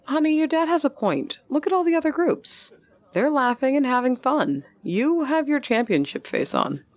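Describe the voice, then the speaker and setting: A woman's voice: high-pitched, sing-song, moderate pace. A woman playing a sensible mother who affectionately chides her teenager in an audio drama. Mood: coaxing. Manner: chiding. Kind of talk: scripted audio drama acting.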